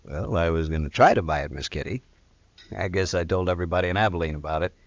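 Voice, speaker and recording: A rich, proud gambler of the Old West with a very deep, self-assured voice. He talks plainly, in the muffled, dry sound of a radio western. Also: fast, no room sound. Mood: smooth, casual. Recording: dull vintage radio recording.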